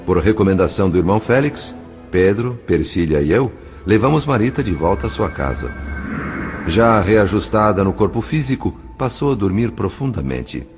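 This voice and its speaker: A man reading scripted narration for an audio novel in a formal, literary, measured manner. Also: fairly low pitch, sing-song. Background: faint music.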